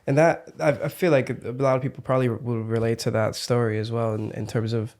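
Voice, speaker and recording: A man's voice: medium pitch, level tone, fairly quick, darkish tone. A man with a mid-range voice hosts a podcast in casual conversation. He muses in an easygoing, thoughtful way and often drops in murmurs of agreement. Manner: reflective, easygoing, frequent backchannel murmurs. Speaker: podcast host.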